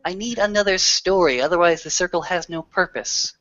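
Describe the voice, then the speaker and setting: A man voicing a courteous, wordy werewolf storyteller who leads the group in a tabletop roleplaying game, formal and somewhat halting. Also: high voice, fairly bright tone, dry recording, muffled recording, pitched above the performer's own voice. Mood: courteous, reproving. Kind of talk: improvised in-character roleplay.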